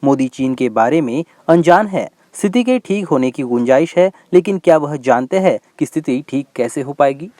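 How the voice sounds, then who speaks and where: A man's voice: fairly high pitch, lively intonation, moderate pace, hardly any pauses, mellow, dry recording. A man reading a scripted news bulletin as its presenter.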